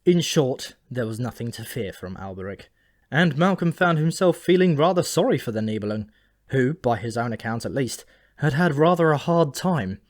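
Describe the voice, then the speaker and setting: Male audiobook narrator, mid-pitched, reading scripted comic fantasy. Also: animated, moderate pace.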